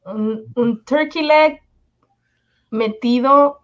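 A dull, lo-fi recording of a woman with a Mexican accent and a fairly high voice. She chats casually and colloquially on a live stream. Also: extremely slow. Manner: informal, colloquial.

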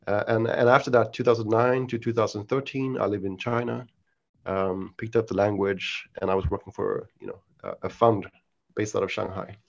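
A man with a Swedish accent, a podcast guest who speaks in halting, casual answers full of restarts and fillers. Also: lowish voice, fairly even intonation, creaky. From Sweden. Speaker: podcast guest, investment researcher. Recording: dull lo-fi audio.

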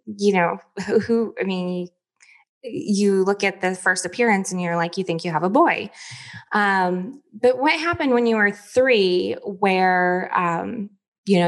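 A woman hosting an interview, asking questions in a conversational way. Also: medium pitch. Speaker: interview host.

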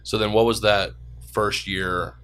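A man hosting an interview, asking relaxed, curious follow-up questions. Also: on the low side, measured pace, clean tone. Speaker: host, interviewer. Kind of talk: conversational interview.

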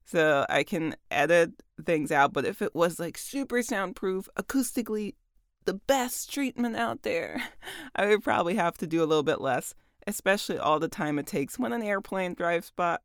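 A woman voice actor with a deep voice, chatting casually about her work, laughing often and using lots of fillers. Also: animated, normal speed, no room sound, clean studio sound. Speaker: voice actor and audiobook narrator.

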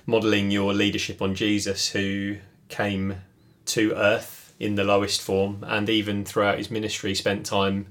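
A man, talking things over in a relaxed podcast conversation. He thinks aloud as he goes, pausing on fillers and restarting his sentences. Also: on the low side. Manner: thoughtful, many fillers and restarts. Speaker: podcast co-host. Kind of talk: casual reflective chat.

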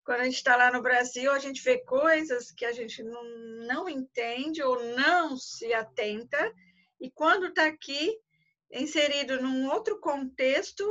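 A woman with a Brazilian accent and a voice on the high side speaks as a tour guide being interviewed. Her style is conversational. The sound is muffled and lo-fi. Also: unhurried, pushed and bright. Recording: dull, muffled lo-fi audio.

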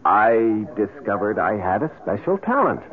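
A man's voice: lowish voice, sing-song, moderate pace. An American actor playing a guarded, evasive businessman in an old-time radio drama, agitated and apt to stammer. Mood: guarded, defiant. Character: defensive, evasive young businessman protecting his fiancée and secret. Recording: narrow, noisy old radio recording.

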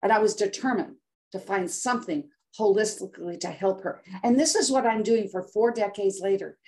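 An older American woman speaking as a podcast guest over a remote call, voice at an everyday middle pitch. She tells her story dramatically and acts out the words of others. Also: brisk, darkish tone. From United States. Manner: dramatic, acts out quotes with voice shifts. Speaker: guest health coach. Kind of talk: emotional storytelling in interview.

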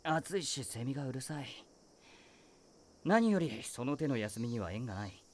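An actor voicing a weary male high-school teacher who talks in a dry, wry, world-weary way. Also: big pitch swings, normal speed, slight background noise, lightly acted. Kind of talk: voice drama acting.

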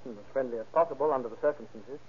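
An actor playing a polite gentleman witness with formal wording in an old radio drama. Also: mid-range voice, rapid-fire, breathy edge, lightly acted. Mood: courteous. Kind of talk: 1930s radio acting. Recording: muffled old radio recording.

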